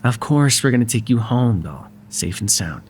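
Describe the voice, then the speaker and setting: A man's voice: medium pitch, expressive, moderate pace, a little hiss or hum, natural voice. A man playing a wry, courteous but exasperated mafia boss in an ASMR roleplay, sardonic and conversational in manner. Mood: soft, apologetic.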